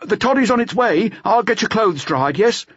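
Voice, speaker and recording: A man voicing a brisk, bossy but accommodating French hotelkeeper who calls out orders in an audiobook. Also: high voice, lively intonation, a bit bright, breathy edge, no echo, lo-fi sound, pitched above the performer's own voice, exaggerated voice. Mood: brisk, helpful. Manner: calling out, giving orders and advice. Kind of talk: audiobook character voice.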